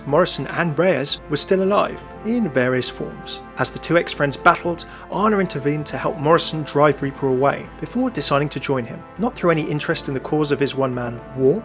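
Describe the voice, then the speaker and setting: A male video narrator with a slightly higher-pitched voice and a quick pace reads scripted game lore. Soft music plays behind him.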